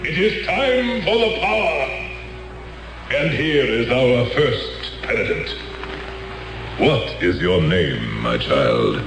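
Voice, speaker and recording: An actor playing a charismatic male cult leader who preaches and heals before his followers in a slow, declamatory style, in a 1970s radio drama. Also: medium pitch, very animated, gravelly, roomy sound, full character voice. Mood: solemn. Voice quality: slow, sonorous, declamatory delivery. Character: charismatic male cult leader, grandly solemn faith healer.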